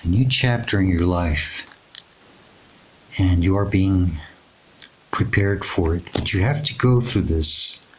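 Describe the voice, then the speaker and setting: Hoarse low-voiced man reading tarot. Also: slight background noise, poor audio. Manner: reassuring, unhurried, with frequent fillers and pauses. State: hoarse, raspy today. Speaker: tarot reader. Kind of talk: intuitive tarot reading to camera.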